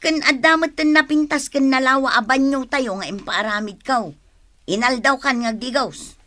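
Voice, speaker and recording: A practical family provider in a radio drama. Her voice is high and bright. She nags with care, chiding and insisting. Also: normal speed. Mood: coaxing. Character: practical, nagging, caring woman, the family breadwinner. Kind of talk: Ilocano radio drama acting.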